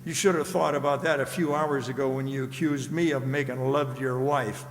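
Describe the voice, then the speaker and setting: An actor playing a stubborn, indignant gentleman past sixty, speaking primly and formally in an old-time detective radio drama. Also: mid-range voice, steady tone, fairly quick, slight background noise, lightly acted. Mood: resolute. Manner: prim, formal, haughty. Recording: echoey hall with long reverb.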